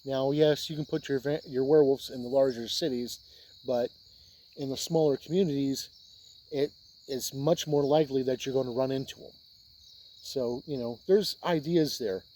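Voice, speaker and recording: Mellow American man hosting a casual video. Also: medium pitch, moderate pace. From Indiana, United States. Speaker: host of a tabletop role-playing video channel. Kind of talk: casual explainer talk to camera.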